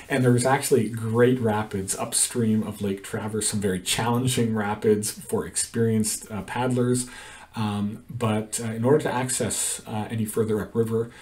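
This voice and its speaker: A man's voice: fairly low pitch, darkish tone, recorded from a distance. A middle-aged man explains a canoe route over a shared screen, talking in a hesitant way with many ums and uhs. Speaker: canoe trip guide. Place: indoor room.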